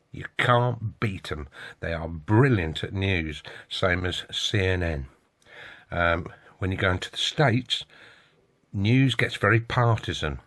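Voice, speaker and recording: Deep-voiced British man talking casually. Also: fairly bright tone. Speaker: radio hobbyist vlogger. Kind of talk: casual opinionated monologue.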